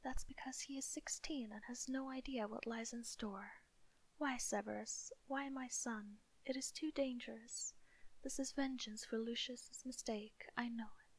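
A desperate, tearful mother begging for her son's life , voiced by a woman in a whisper. The voice is breathy and husky, sitting somewhat high. This is a storybook reading. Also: steady tone, a little slow, poor audio. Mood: pleading, tearful. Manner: whispered, pleading.

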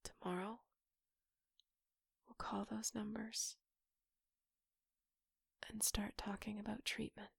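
A woman performing an intimate audio roleplay in a soft, breathy whisper, close to the microphone. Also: mid-range voice, level tone, takes it slowly, long pauses, no echo.

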